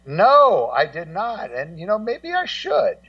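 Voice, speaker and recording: A man in casual radio conversation, rambling and halting as he repeats words and acts out what other people say. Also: high-pitched, wildly swooping pitch, no room sound. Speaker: guest co-host and music documentary maker. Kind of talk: casual radio talk, telling anecdotes. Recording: muffled, slightly distant voice feed.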